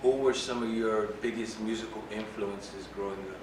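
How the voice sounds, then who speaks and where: Low, flat-voiced male interviewer, off-mic. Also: normal speed. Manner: brief, curious questions. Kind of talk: interview questions. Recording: roomy, distant mic, background noise.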